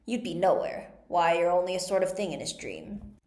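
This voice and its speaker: A woman voicing a contemptuous, excitable little man from a storybook, blustering and emphatic. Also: fairly low pitch, normal speed, reverberant, lightly acted.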